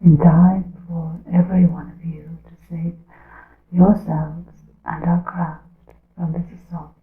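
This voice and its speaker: A woman voicing a hunted witch in a breathy ASMR whisper, solemn and deliberate, with archaic formal phrasing as she secretly warns her coven. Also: on the low side, little pitch movement, reverberant, phone-quality sound. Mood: solemn, hushed. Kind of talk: ASMR whispered roleplay monologue.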